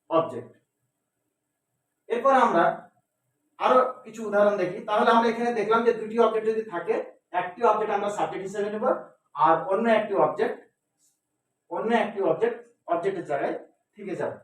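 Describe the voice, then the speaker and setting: High-pitched male teacher in echoey room. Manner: didactic, asks and answers own questions, repeats key words. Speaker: English grammar teacher. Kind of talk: step-by-step classroom lesson. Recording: very echoey room, distant microphone.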